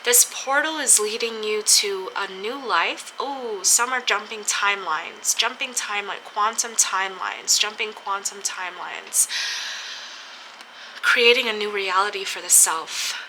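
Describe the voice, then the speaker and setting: A woman slowly and dreamily reading tarot for an online audience, sounding a little stuffy from a cold, with soft laughs and pauses. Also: mid-range voice, a little hiss or hum, rough recording. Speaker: tarot reader. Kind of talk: spiritual tarot reading for camera.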